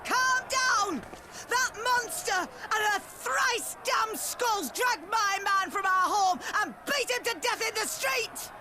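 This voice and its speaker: Shrill, enraged widow. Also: female voice, lively intonation, bright, forceful tone, slight background noise, heavily acted. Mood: grief-stricken fury. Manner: drawn-out, emphatic, projected. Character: bereaved, enraged townswoman demanding vengeance. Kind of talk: dramatic video game cutscene acting.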